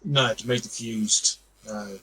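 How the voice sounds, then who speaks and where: A man from outside the United States, a guest player in casual game chat, giving relaxed, friendly, short replies. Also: mid-range voice, measured pace, husky, low-quality recording.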